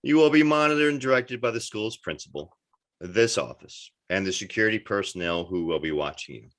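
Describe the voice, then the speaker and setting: A man acts a prison warden in a script read. His voice is deep and his delivery measured. He sounds stern, formal and fully official. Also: animated, fairly bright tone. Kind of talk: screenplay table read.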